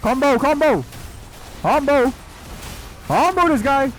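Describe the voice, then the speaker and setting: A man's voice: very high voice, animated, slow, a bit bright, poor audio. A man giving casual commentary as he streams himself playing a video game. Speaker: gameplay streamer. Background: game music.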